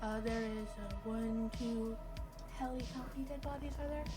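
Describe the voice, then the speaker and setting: A woman with a fairly high voice plays a tabletop roleplaying game. She chats casually in character with theatrical, salty phrasing, and music plays clearly behind her. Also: fairly even intonation, moderate pace. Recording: background music.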